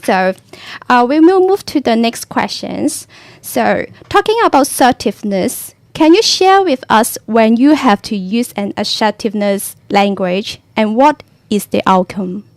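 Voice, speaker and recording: A woman's voice: on the high side, lively intonation, dry recording. Woman who learned English as a second language, asking panel questions, dry studio sound. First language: not English. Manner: careful, slightly halting. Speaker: panel moderator. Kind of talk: radio panel discussion.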